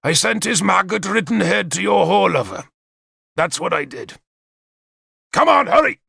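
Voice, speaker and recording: A male narrator acts out a haughty warlord king from a historical storybook. He declaims with menace and contempt, his voice pushed high and sharp, with some gravel in it, very close and dry. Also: expressive, moderate pace, no echo, exaggerated voice. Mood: menacing.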